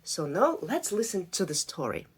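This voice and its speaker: A woman reading a folk tale aloud for children, talking in an animated, expressive way. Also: on the low side, moderate pace, husky. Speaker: storyteller.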